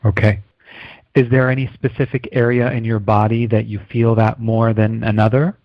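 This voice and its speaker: A man leads a client through slow, deep breaths in a calm, soothing voice, affirming her softly as he goes. The audio is dry and a little dull, like a video call. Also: no room sound. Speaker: energy healer. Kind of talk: guided healing session.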